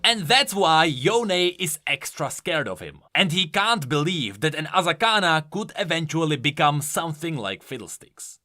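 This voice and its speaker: A man's voice: a little high, big pitch swings, normal speed, hardly any pauses, sharp tone. A man giving enthusiastic, explanatory commentary on video game lore, leaning hard on key words for emphasis.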